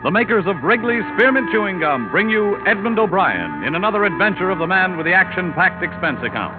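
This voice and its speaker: A man reading commercials as a 1950s radio announcer, in a bright sales style. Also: high-pitched, sing-song, fairly quick, over loud music. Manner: bright, sing-song salesmanship. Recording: tinny old radio broadcast.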